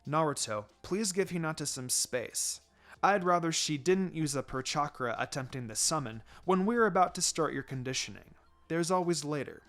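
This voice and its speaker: A man voicing a calm, dry adult ninja teacher in a story reading, with a low-key, gently chiding manner. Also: normal speed, faint music behind. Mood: calm, curious.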